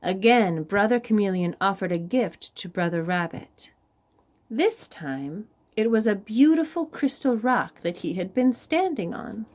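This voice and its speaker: A woman narrates a storybook for young listeners. She takes her time, pausing deliberately, and her pitch moves in an animated, dramatic way. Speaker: children's story reader. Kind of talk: expressive storybook read-aloud.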